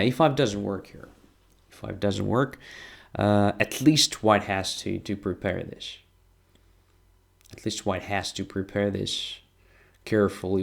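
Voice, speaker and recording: A man with a Ukrainian accent commentating live on chess, thinking aloud in short, halting phrases. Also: lowish voice, creaky. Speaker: chess streamer and international master. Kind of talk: live game commentary and analysis.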